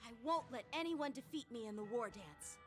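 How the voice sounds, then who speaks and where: An actress voicing an earnest, determined young ringmaster in a video game. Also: female voice, a little high, lively intonation, brisk, natural voice. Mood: resolute. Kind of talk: scripted video game voice acting. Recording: music under the voice.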